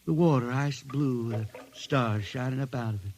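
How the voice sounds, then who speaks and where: A man's voice: medium pitch, darkish tone, a little hiss or hum, close to an everyday voice. An actor playing a wistful, folksy spaceman poet from the hills with a Southern country accent, in a vintage radio drama. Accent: Southern US hill country. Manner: folksy, halting, dreamy. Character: wistful blind spaceman poet, Ozark country-bred drifter. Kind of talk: old-time radio drama acting.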